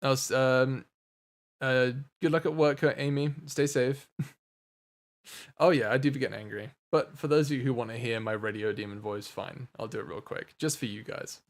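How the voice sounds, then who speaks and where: A man hosting a livestream, chatting casually with viewers in an animated, joking, sweary way. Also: mid-range voice, moderate pace. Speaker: livestream host, voice actor. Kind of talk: casual chat with viewers, reading comments.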